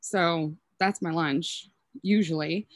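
A woman's voice: on the low side, slow pace, a little hiss or hum. A woman in her thirties from Minnesota, chatting as a guest in a casual interview and talking in an upbeat, rambling way with frequent "ums". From Minnesota, USA. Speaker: podcast guest.

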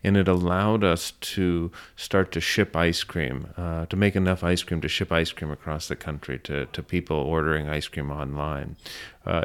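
Very low, smooth-voiced man. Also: fairly bright tone. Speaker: business owner being interviewed. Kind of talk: conversational storytelling.

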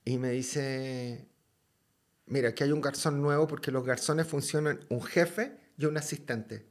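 A man with a Chilean accent tells a story as a podcast guest, lively and loose with slang and curses. His voice sits at an ordinary middle pitch. He talks casually. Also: unhurried. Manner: lively, slangy and swears freely.

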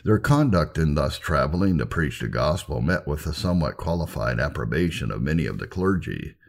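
A man narrating a scripted history audiobook in a formal, measured, oratorical way. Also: very deep voice, lively intonation, gravelly. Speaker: narrator reading church history.

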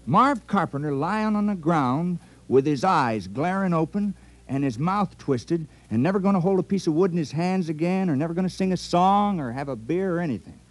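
An actor in a 1950s radio comedy playing a reluctant, mild-mannered, plain-spoken villager made town criminal. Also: male voice, a little high, lively intonation, moderate pace. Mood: troubled.

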